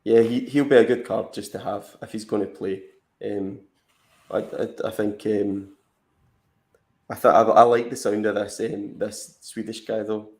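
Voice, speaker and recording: A man's voice: fairly low pitch, steady tone, unhurried, a little mellow, echoey room. A man co-hosting a fantasy football live stream, chatting casually and rambling with lots of fillers and restarts. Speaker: fantasy football podcast co-host.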